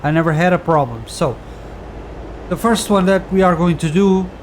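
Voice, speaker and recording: Man, Portuguese native speaker, slightly high pitch, casual tutorial, lots of ums and restarts. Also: moderate pace, a little hiss or hum. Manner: relaxed, rambling, frequent fillers and restarts. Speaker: tutorial host. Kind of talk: casual screen-recorded game tutorial.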